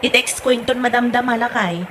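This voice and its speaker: A woman's voice: on the high side, fairly quick, a bit bright, a little hiss or hum, lightly acted. A woman playing a bossy, worrying wife in an amateur comedy audio drama, speaking in an urging, conversational way.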